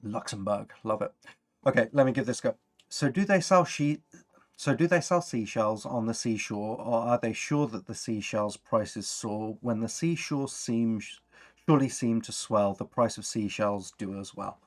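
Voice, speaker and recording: A man's voice: mid-range voice, moderate pace. A man chatting casually and encouragingly as he hosts a worldbuilding livestream. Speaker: stream host.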